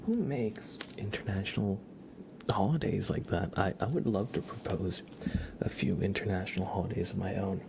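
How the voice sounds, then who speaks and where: A man hosting an ASMR livestream, chatting casually and unhurriedly in a soft, gentle murmur that drifts into whispers. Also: low-pitched, normal speed, background noise. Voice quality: soft, breathy half-whisper. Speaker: livestream host reading chat.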